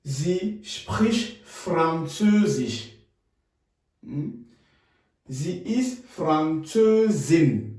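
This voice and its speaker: A man from Cameroon teaching German in French, repeating phrases slowly and adding checking tags like "hmm?". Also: on the high side, animated, a little mellow, very echoey, distant mic. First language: Ngamba. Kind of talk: bilingual language lesson.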